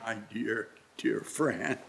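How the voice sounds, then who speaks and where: A man playing old Scrooge, remorseful and wistful, with a halting delivery, in a radio-play style reading. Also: mid-range voice, gravelly, fairly bright tone, reverberant, heavily acted. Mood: regretful.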